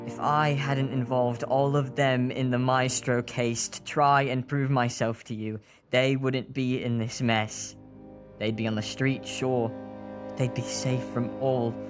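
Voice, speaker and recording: A man's voice: medium pitch, level tone, a little slow, close to an everyday voice. A man playing an earnest, guilt-ridden young street boy who leads reluctantly in an audio drama. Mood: guilty. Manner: earnest, troubled. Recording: music under the voice.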